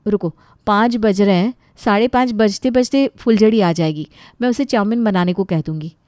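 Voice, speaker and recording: A woman's voice: medium pitch, moderate pace, a little mellow, dry recording, studio quality, natural voice. A woman playing a practical, exasperated young housewife who protests in a dramatized family story.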